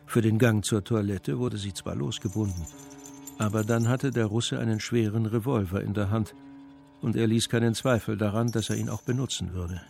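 A man narrating a radio crime drama in a measured, matter-of-fact, gravelly voice. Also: on the low side, steady tone, darkish tone, faint music behind. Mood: sober. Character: sober male narrator of a crime story.

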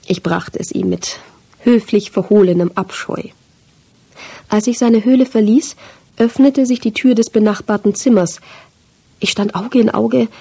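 A woman's voice: medium pitch, gravelly, dark tone, slightly rough. A woman reading a literary first-person story as a scripted audiobook narration.

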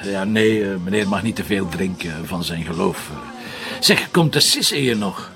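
An actor with a Flemish accent playing a cocky, sardonic middle-aged police commissioner in a TV crime drama, speaking colloquially with a gravelly voice. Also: male voice, deep voice, animated, measured pace, music in the background, full character voice. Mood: jovial, probing. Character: cocky, hard-drinking, teasing male police commissioner. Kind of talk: naturalistic TV crime drama dialogue.

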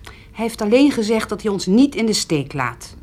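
An actress playing a caring farmer's wife, speaking in a soothing, careful way in a radio play. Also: female voice, mid-range voice, sing-song, moderate pace, a little mellow. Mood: cautious. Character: caring, careful middle-aged farmer's wife visiting her husband. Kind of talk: Dutch radio play dialogue.

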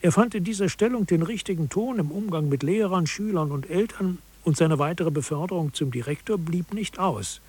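Higher-pitched husky man, measured narrator. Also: darkish tone. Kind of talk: scripted narration in a satirical radio feature.

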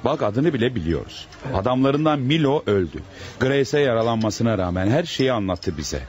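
A man's voice: mid-range voice, big pitch swings, normal speed, a little mellow, highs cut off, lightly acted. A man acting a firm, confident homicide detective in a radio play, stern and assured. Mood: stern, triumphant.

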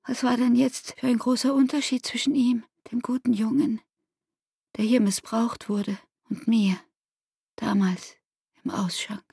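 Radio drama: hurt young woman in love. Intimate, reproachful, breathy with some fry, recorded dry. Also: on the high side, flat delivery, a little slow, warm tone, dry recording, full character voice. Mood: hurt, accusing. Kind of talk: literary radio drama acting.

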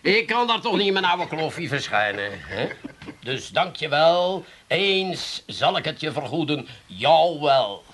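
An actor playing a vain, middle-aged Amsterdam would-be thespian in a comic radio play, pompous and declamatory, quick to take offence. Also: male voice, a little high, big pitch swings, a bit bright, slight background noise. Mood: offended, grandiose. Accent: Amsterdam Dutch.

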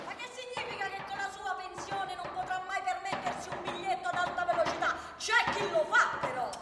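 A woman's voice: very high voice, a little fast. An actress playing a naive, chirpy woman in a theatrical radio monologue, exclaiming as if answering someone unheard. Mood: breezy, dismissive. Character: naive, chirpy woman cheerfully defending high-speed rail. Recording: distant, echoey hall with loud noise.

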